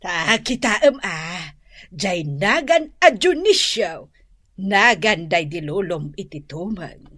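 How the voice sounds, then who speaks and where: A woman's voice: on the low side, expressive, slow, a bit bright, no echo, clean studio sound, exaggerated voice. A woman playing a doting, fussy grandmother in Ilocano radio drama, exclamatory and melodramatic, with an Ilocano accent. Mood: bossy, fussy. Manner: gushing, exclamatory, sing-song wailing.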